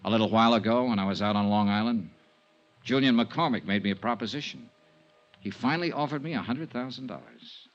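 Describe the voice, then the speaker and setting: An actor playing a tough, wry insurance investigator in a 1950s radio detective drama, talking in dry, clipped wisecracks. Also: male voice, medium pitch, a little fast, natural voice. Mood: cool, needling. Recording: dull vintage radio recording with music.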